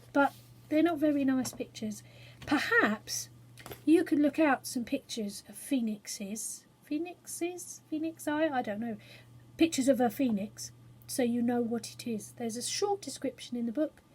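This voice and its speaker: A woman's voice: a little high, darkish tone, slightly rough. An English woman chatting informally and reading a storybook aloud to children, self-deprecating and explaining things simply. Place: small spare room study at home.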